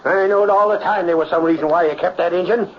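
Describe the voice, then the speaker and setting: Old radio western: male actor as gruff rustic cowhand, American West accent, high pitch, blunt, muffled recording. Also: fast, lightly acted. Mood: indignant. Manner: folksy, blunt. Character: gruff, indignant rustic cowboy, plain-spoken. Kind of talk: 1940s radio western acting. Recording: dull, faintly noisy old radio recording.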